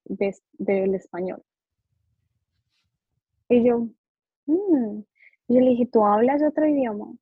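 A woman's voice: mid-range voice, slightly rough, no room sound, phone-quality sound. A young woman whose first language is Spanish, a psychologist guest telling her story in a casual interview and animatedly acting out the people she quotes. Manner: animated, acts out quoted dialogue. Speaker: psychologist and behavioral therapist guest.

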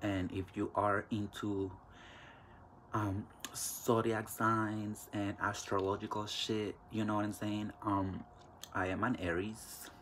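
A man's voice: fairly low pitch, flat delivery, takes it slowly, noisy background. A man in his twenties with a Mexican accent, talking candidly and bluntly with lots of fillers and swearing as the host of a solo podcast. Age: 20s. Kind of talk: casual solo podcast monologue.

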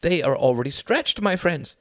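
A man's voice: a little high, lively intonation, moderate pace, no room sound, studio quality, voice pushed up, close to an everyday voice. Man voicing a desperate bald politician. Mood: pleading. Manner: desperate, overwrought speechifying. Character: nervous bald male politician pleading.

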